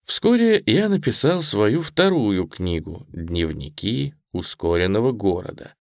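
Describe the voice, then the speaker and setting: Expressive male audiobook narrator, low voice. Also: no echo, studio quality. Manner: reflective, expressive intonation. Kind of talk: first-person memoir narration.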